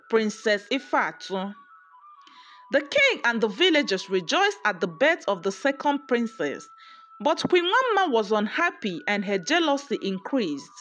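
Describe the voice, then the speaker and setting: A woman narrating a scripted folktale in an expressive storytelling manner. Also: on the high side. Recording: music under the voice. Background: background music.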